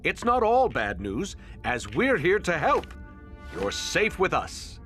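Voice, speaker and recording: A slick announcer in a cartoonish game. The voice is highish and bright, with animated, overdramatic broadcast emphasis. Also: male voice, takes it slowly, faint music behind, heavily acted. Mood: reassuring. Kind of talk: animated video game cutscene acting.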